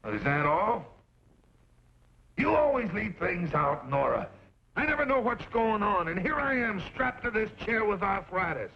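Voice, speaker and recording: An actor playing a garrulous old rancher father in a western radio drama, folksy and rambling with quiet menace. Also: high voice, big pitch swings, gravelly, exaggerated voice. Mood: nosy, folksy. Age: older. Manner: folksy, rambling, drawn-out emphasis. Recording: muffled, noisy old radio recording.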